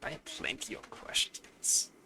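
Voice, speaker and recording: A man's voice: unhurried, noisy background. A man doing a let's play, reading game text aloud as he streams. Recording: game music behind the voice. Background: game music.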